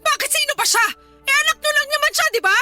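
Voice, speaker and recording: High-voiced, wounded Filipino daughter. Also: female voice, fast talker, sharp tone, soft background music, heavily acted. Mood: reproachful, hurt. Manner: emotional, reproachful, pleading. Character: hurt, resentful adult daughter, dutiful family breadwinner. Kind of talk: Filipino radio drama acting.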